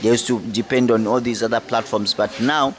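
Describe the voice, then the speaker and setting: A man's voice: mid-range voice, fairly even intonation, brisk. A man with a Zambian accent, a journalist, telling a long story in an interview answer with lots of fillers. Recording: crowd chatter in the background.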